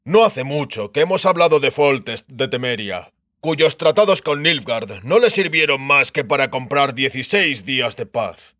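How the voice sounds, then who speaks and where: A blunt spymaster addressing royalty with stiff courtesy. The voice is forceful and sharp at a middle pitch, pointed, for an audiobook drama. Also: male voice, lively intonation, moderate pace, dry recording, clean studio sound. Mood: warning.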